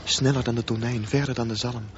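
A man giving dreamy, lyrical literary narration in a radio drama, in a hushed storytelling manner. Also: medium pitch, steady tone, fairly quick, natural voice. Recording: muffled recording with faint hum.

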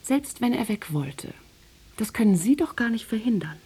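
Dark, creaky, reserved wife. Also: female voice, fairly high pitch, big pitch swings, moderate pace, exaggerated voice. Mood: quietly defiant. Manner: terse, restrained, defensive. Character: reserved, quietly resistant wife questioned by secret police. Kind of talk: German radio drama dialogue.

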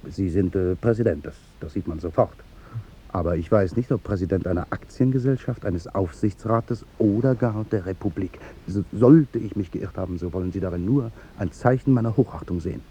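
Café waiter: dignified, earnest, hesitant, with a deep, dark voice, vintage radio play. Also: male voice, fairly quick. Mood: respectful. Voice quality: low, dark, husky. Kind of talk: 1950s German radio drama acting.

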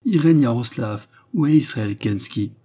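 A man's voice: medium pitch, animated, moderate pace, dark tone, close to an everyday voice. A man voicing a stern, suspicious woman in a story who questions people in a curt, accusing, insistent manner. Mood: harsh, accusing.

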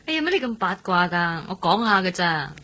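An actress playing a gentle, fragile young woman in a radio drama, quietly candid, with a soft husky voice and a Hong Kong Cantonese accent. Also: lowish voice, big pitch swings, fairly quick. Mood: wistful, candid. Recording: faint music bed underneath. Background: faint music.

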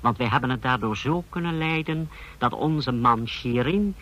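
A male actor plays a dictator's scheming aide with a crisp-edged, bright voice at middle pitch. He explains with formal precision and deference, in the manner of radio drama. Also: animated, moderate pace, lightly acted, rough recording. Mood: formal, self-assured. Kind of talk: Dutch radio drama acting.

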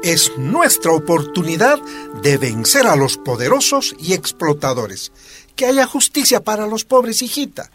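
A man's voice: sits high, very animated, unhurried, fairly bright tone, faint music behind, heavily acted. A man playing a determined, tender miner father in a radio drama, earnest and affectionate. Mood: solemn, tender.

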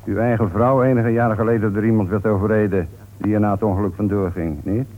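A man plays a dryly polite, probing police inspector in a radio drama. He speaks low, in a measured, insistent way. The recording is narrow and tinny, with noise underneath. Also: steady tone, natural voice. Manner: measured, insistent, tags questions with "hè". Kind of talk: radio play acting. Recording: tinny, noisy old radio play recording.

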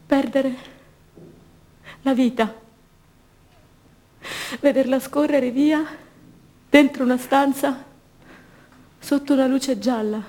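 A woman's voice: high-pitched, fairly even intonation, takes it slowly, pauses often, a little mellow. A woman acting an anguished young imprisoned mother in a radio theatre monologue, theatrical and repetitive, by turns defiant, tender and fearful. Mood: fearful, weary. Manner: theatrical, repetitive, emotionally raw delivery.

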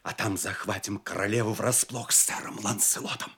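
Very husky, low, plotting knight. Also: male voice, fairly even intonation, moderate pace, heavily acted. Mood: stealthy, plotting. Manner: hushed, conspiratorial. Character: stealthy cold plotting male knight, ambush leader. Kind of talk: theatrical radio play acting.

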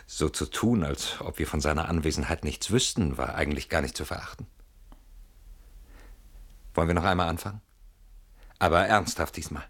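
An actor in a German radio play voicing an evasive, self-justifying man with a guilty conscience, halting and brooding, protesting in sudden outbursts. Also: rumbling low, a bit bright, breathy edge, heavily acted. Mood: uneasy, negotiating.

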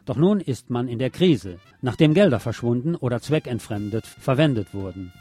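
A man's voice: mid-range voice, animated, moderate pace, loud music behind the voice. A man presenting scripted radio news with a wry, colloquial touch. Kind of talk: scripted news magazine narration.

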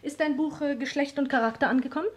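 An actress playing a haughty, headstrong young baroness in a 1960s German radio play, with a clipped, imperious, well-bred manner. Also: female voice, high voice, quick pace, natural voice. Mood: imperious, challenging. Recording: faintly noisy vintage radio recording.

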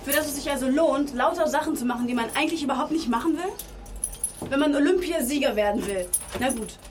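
A woman acting as a frustrated graduate in her twenties speaks in a high voice. She is disillusioned and argumentative, in naturalistic radio drama dialogue recorded with clear background noise. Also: fairly quick, close to an everyday voice. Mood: bitter, agitated. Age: 20s. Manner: argumentative, questioning. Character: frustrated, disillusioned young pharmacy graduate working in a bar.

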